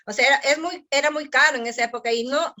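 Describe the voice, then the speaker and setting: A woman from Ecuador with an Ecuadorian Spanish accent, a guest on an interview, who tells stories in a lively way and often says quick yeses. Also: sits high. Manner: lively storytelling, frequent quick affirmations. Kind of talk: conversational interview.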